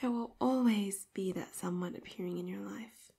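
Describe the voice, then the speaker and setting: A woman voicing a devoted, possessive young female robot assistant in an ASMR roleplay, speaking in a soft half-whisper and calling the listener Master. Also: mid-range voice, moderate pace, gravelly, breathy edge. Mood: tender. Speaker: voice actor.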